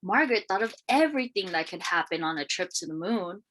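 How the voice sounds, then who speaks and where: A woman reading a children's picture book aloud in an expressive, deliberate storytelling manner. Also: on the low side. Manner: expressive, questioning lifts and deliberate phrasing. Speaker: read-aloud storyteller, teacher.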